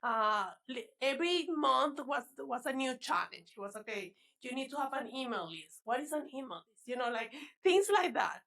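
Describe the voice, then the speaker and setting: High, gravelly Venezuelan woman. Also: expressive, normal speed, hardly any pauses, a bit bright. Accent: Venezuelan Spanish. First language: Spanish. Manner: chatty, laughs often, restarts words. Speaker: guest, fitness coach. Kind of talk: casual interview chat.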